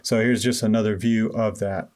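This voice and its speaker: A man's voice: fairly low pitch, moderate pace. Casual man narrating his DIY build. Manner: relaxed, self-deprecating, many fillers. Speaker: DIY renovation vlogger. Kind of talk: casual voice-over walkthrough.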